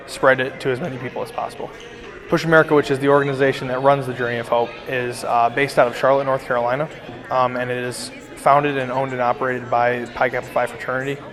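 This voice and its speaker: Even-toned young man in a hall. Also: medium pitch. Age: young adult. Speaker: charity cycling team member. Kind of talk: unscripted interview. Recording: reverberant hall.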